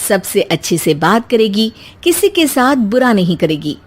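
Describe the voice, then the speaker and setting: A female storyteller reads a scripted comic moral tale. She takes her time, with slow, measured narration throughout. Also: mid-range voice, big pitch swings, slightly rough.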